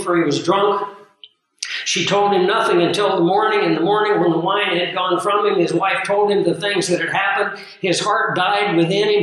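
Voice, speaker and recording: Male preacher, hoarse and scratchy, high and bright, earnest and emphatic, heard from a distance. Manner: earnest, emphatic, unhurried teaching with pauses. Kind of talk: sermon teaching with live audience. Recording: very echoey room, voice far from mic.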